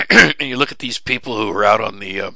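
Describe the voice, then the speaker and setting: A middle-aged man with a very low, gravelly voice that carries a bright, hard edge, rambling at listeners in a folksy, preachy way with many fillers and restarts. His voice is starting to give way after long talking. The sound is dry and close, from a studio mic. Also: a little fast, dry recording. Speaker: radio show host. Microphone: studio mic with cough button.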